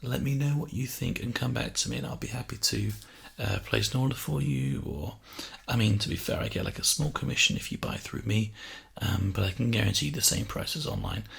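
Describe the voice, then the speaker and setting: A man doing a casual, reassuring ASMR sales role play, speaking softly and chattily into a close binaural microphone. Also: medium pitch, fairly quick. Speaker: ASMR performer playing a personal shopper. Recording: close binaural mic, clear background hiss.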